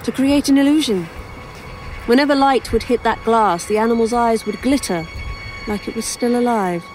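Female author role, audio drama, played by a woman: inquisitive, rambling, dryly witty, fairly high voice, slight background ambience. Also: moderate pace, a little mellow, a little hiss or hum, natural voice, low-quality recording. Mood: curious, musing. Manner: hesitant, wry, musing.

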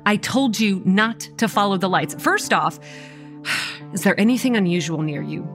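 A woman's voice: mid-range voice, lively intonation, moderate pace, fairly bright tone, soft background music. A young woman acting a wry, weary campground manager narrating a horror audio drama in a dry, exasperated way. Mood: wary, stern. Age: young adult. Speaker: narrator and lead character.

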